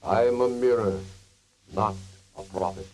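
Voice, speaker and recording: Man as a slow, dark-voiced magic mirror. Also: on the low side, soft background music, lightly acted, rough recording. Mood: solemn, frank. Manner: solemn, measured rhyming recitation. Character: blunt, truthful, unflappable magic mirror. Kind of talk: 1930s radio acting in an ad skit. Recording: hissy old 1930s radio recording.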